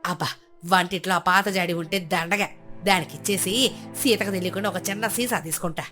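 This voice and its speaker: A woman's voice: on the low side, brisk, sharp tone, soft background music, pitched below the performer's own voice. A woman voicing a foolish, scheming old mother-in-law in a storybook tale, muttering to herself and fretting. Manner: fretful, muttering to herself, then wailing in panic.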